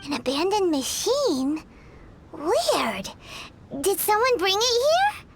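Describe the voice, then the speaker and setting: A woman voicing a small, childish, excitable fairy companion in a video game, squeaky and inquisitive. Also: slow pace, background noise, heavily acted. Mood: puzzled. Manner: exclamatory, inquisitive. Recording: loud music behind the voice.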